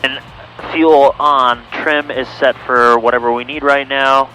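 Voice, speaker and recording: A man's voice: medium pitch, steady tone, unhurried, pushed and bright, a little hiss or hum, rough recording. A man talking casually as a pilot over a cockpit headset intercom, giving matter-of-fact instruction. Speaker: pilot in the cockpit, coaching the other pilot. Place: inside a small airplane in flight.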